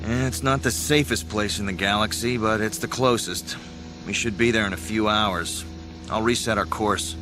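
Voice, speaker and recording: An actor playing a gruff, exasperated space smuggler pilot in an audio drama, wry and impatient. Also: male voice, lowish voice, steady tone, moderate pace, natural voice. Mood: wry, practical. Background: music.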